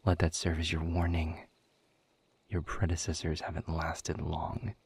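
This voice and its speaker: A man playing a sardonic, world-weary demon director in a scripted audio roleplay, speaking in dry, sarcastic, wordy drawls with a husky, breathy low voice. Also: monotone, unhurried, a little mellow, a little hiss or hum, full character voice. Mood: sardonic, unwelcoming. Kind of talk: scripted audio roleplay monologue addressed to the listener.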